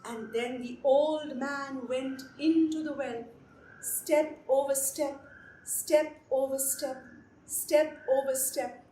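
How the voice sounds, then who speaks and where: A woman telling a folktale to children in an animated way, repeating phrases for effect and addressing her listeners directly. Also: high-pitched, takes it slowly. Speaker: storyteller. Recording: echoey room with background noise.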